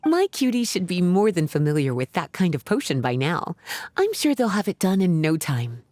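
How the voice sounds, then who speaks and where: A woman's voice: fairly low pitch, sing-song, moderate pace, a little mellow. A woman voicing an elegant, doting witch librarian in a video game, coy, teasing and affectionate. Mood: coy, pleased. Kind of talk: video game cutscene acting.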